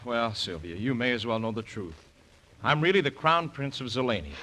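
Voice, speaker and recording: A man's voice: medium pitch, animated, fairly quick, pushed and bright. A man playing an eager, boyish young soldier prince in a 1940s radio operetta. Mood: pleading, earnest. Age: young adult. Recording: noisy vintage 1940s radio broadcast.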